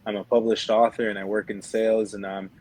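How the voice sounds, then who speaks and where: Young male co-host, twenties, lowish voice, casual personal storytelling, chatty phrasing. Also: level tone, fairly quick, a little mellow, a little hiss or hum. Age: 20s. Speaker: podcast co-host.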